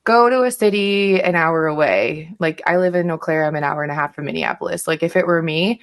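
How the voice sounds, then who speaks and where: An American-accented woman speaks in a distinctly low register. She is a podcast guest offering advice in casual conversation. Her phrasing is informal and hedged, full of "like" and "you know". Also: brisk.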